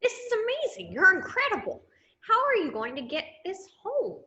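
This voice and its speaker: A woman playing an excited, awestruck young sidekick in a space fan fiction table read over a video call, gushing with wonder. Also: extremely high pitch, normal speed, full character voice. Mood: amazed, excited. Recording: dull video call audio.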